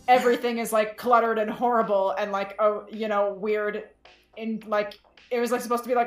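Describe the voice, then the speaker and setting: High-voiced woman bantering casually. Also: echoey room. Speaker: podcast guest, fiction writer. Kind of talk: casual comedic chat.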